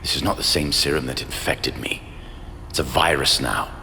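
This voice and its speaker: A middle-aged man acting a remorseful, cautious scientist in a video game, grave and measured, with a gravelly voice. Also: pushed and bright, in a large hall, a little hiss or hum, exaggerated voice. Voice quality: deep, gravelly.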